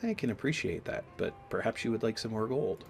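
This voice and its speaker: A man with a slight cold giving casual let's-play commentary, explaining things in a deliberate, halting way. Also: fairly low pitch, animated, gravelly. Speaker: gaming video host. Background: game music.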